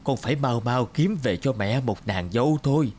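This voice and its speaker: A man's voice: medium pitch, lively intonation, moderate pace, a little mellow, slight background noise, lightly acted. A man voicing an elderly mother in a cartoon fairy tale, fond and insistently urging. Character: elderly mother, fond, nagging for a daughter-in-law. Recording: music under the voice.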